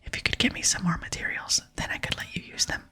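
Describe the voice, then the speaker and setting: An ASMR gamer voicing a humble, hard-working male smith from a game in a polite, breathy whisper. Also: fast talker, pushed and bright. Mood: earnest. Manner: polite, plain-spoken. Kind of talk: whispered game dialogue reading.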